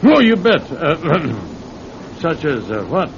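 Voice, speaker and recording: Sly, folksy radio-drama crook, animated pitch. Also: male voice, slow. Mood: folksy, fatherly. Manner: folksy, chuckling, hesitant hemming and hawing. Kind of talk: old-time radio drama acting. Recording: muffled, noisy old radio recording with rumble.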